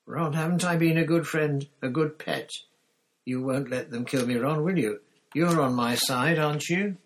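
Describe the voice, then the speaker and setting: A man's voice: slow, a bit bright, slight background noise. A man voicing a terrified, grovelling man who whines and pleads for his life in a storybook reading. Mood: desperate. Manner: whining, pleading, squeaky.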